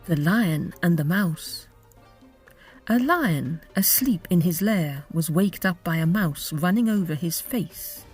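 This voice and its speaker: A woman's voice: lowish voice, expressive, slow, a little mellow, breathy edge, faint music behind. A woman hosting a podcast and reading scripted storybook narration.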